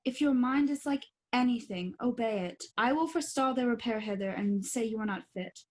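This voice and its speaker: A woman's voice: fairly high pitch, animated, brisk, close to an everyday voice. A young woman playing a loyal, anxious male friend in a Shakespearean radio play. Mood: concerned. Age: young adult. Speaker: student actor.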